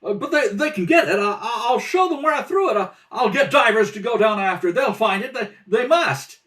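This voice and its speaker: A man's voice: high-pitched, animated, brisk, a bit bright. A man playing a nervous, lovelorn suspect who stammers hesitantly, in an old-time radio drama style. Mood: desperate. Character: nervous, lovelorn man under police questioning. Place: home kitchen table. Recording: distant home rehearsal recording.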